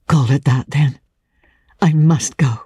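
A woman reading a dramatic audiobook, voicing an anguished, principled lady who refuses her lover in a breathy, distressed but resolute manner. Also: low voice, takes it slowly, dark tone, no echo, studio quality, heavily acted. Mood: resigned, breathy.

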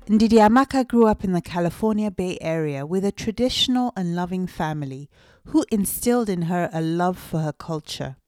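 A woman's voice: on the low side, darkish tone, no echo, studio quality. A woman hosting a podcast interview, reading a formal introduction and then asking questions in a chatty way. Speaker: podcast host.

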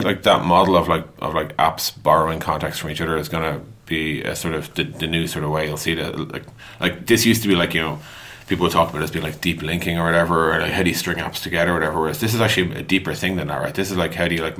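A man's voice: a bass voice, fairly even intonation, quick pace, hardly any pauses, fairly bright tone. A man hosting a casual podcast interview, thinking aloud conversationally and checking that the other person follows.

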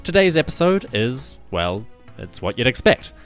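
A man hosting a history podcast, reading scripted narration in a measured way with theatrical emphasis. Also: mid-range voice, big pitch swings, a bit bright, soft background music.

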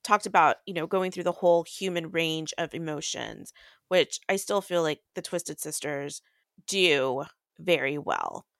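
A woman's voice: low-pitched, sing-song, a little slow, creaky, dry recording, studio quality. A woman co-hosting a podcast, casually discussing and recapping books. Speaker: podcast co-host.

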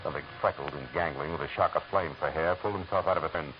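Hard-boiled detective, deadpan wisecracks, deep voice; muffled lo-fi radio sound. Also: male voice, racing. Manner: dry, sardonic wisecracks. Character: hard-boiled male private eye, wry and dry. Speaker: narrator and detective. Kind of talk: 1940s radio acting. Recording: hissy dull old radio broadcast.

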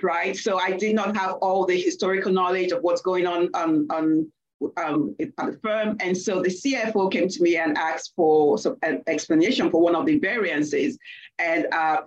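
A woman's voice: on the low side, dull audio. A woman from Nigeria with a Nigerian accent, speaking as a panelist and telling a story from her work, going back over her words as she talks. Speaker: panelist, accounting leader. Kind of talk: panel discussion, personal story.

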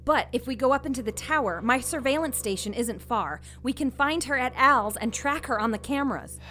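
A woman's voice: high-pitched, animated, brisk. An actress playing a cheeky woman who teases with mock obedience. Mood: eager. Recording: loud background music.

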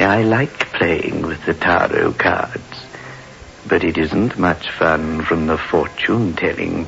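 Sly ghoulish radio horror host, gravelly, slow and deliberate, muffled old recording. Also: male voice, fairly bright tone, heavily acted. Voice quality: deep, creaky. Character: sly, macabre male storyteller with ghoulish humour.

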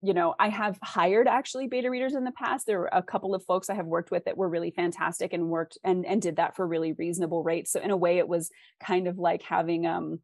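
Woman author being interviewed, lowish voice, dry close sound, talks her ideas through. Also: steady tone, quick pace, hardly any pauses, darkish tone, dry recording. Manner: explanatory, acts out imagined inner questions. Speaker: interview guest, fiction author. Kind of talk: thoughtful conversational interview answers.

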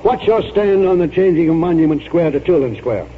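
An actor playing a pompous, stubborn old retired colonel in an oratorical 1940s radio style. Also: male voice, on the high side, expressive, brisk, natural voice. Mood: grandiose, combative. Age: older. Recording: tinny, noisy 1940s radio recording.

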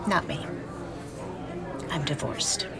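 Middle-aged woman, wry and guarded businesswoman for a dark-comedy podcast drama. Dry delivery, gravelly edge. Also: deep voice, slow, a crowd in the background, exaggerated voice. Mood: guarded, candid. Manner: dry, self-deprecating. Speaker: lead actress and narrator. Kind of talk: confessional first-person narration and dialogue in audio drama.

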